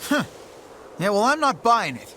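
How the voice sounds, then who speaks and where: A man's voice: very high voice, very animated, unhurried, bright, forceful tone, slight background noise, exaggerated voice. An actor voicing a brash, skeptical young man in a game cutscene. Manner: blunt, sarcastic. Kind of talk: video game cutscene dubbing.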